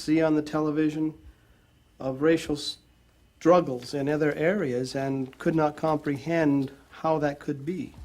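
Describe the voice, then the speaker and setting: Earnest guy, kinda high voice, stops and restarts a lot. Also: a little mellow. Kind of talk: group discussion.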